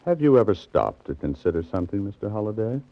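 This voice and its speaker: An actor in a 1940s radio drama playing a suave crook, politely threatening and unhurried. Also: male voice, on the low side, sing-song, faint music behind. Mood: cool threat. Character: suave, menacing crook with a hired thug. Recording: dull, band-limited 1940s radio recording.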